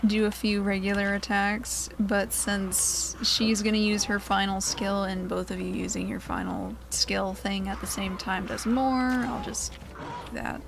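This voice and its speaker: A woman's voice: medium pitch, unhurried, creaky, background noise. A woman giving casual gameplay commentary, muttering self-deprecating reactions as she plays. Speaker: gaming video creator. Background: video game music.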